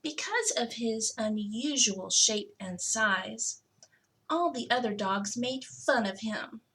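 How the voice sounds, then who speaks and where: A woman's voice: a little high, slow pace, a bit bright, slight background noise. A woman reading a children's picture book aloud expressively, stretching out key words for emphasis. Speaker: school technology coordinator reading to children.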